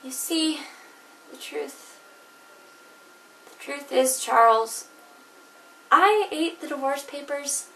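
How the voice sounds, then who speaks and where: A woman acting out a jilted wife who jokes to keep from crying. Her very high, bright voice swings widely between wry jabs and fragile moments. It is heard from a distance, with room echo around it. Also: slow, vocal fry, long pauses, a little hiss or hum, heavily acted. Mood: wistful. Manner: wry, theatrical, teetering between laughter and tears. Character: jilted wife, laughing through tears. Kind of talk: comedic monologue performance.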